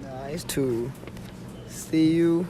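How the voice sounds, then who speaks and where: A man playing an extremely slow, placid sloth clerk in an amateur radio play, one word at a time with long gaps. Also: fairly high pitch, music in the background. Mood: placid, unhurried. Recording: noisy amateur recording.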